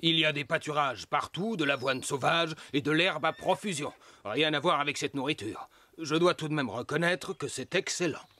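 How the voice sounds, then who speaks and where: A man voicing an easygoing young wild horse in an animated series, relaxed and persuasive, proud of a free life. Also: a little high, normal speed, a little hiss or hum. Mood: dreamy, proud. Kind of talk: animated series voice acting, French dub.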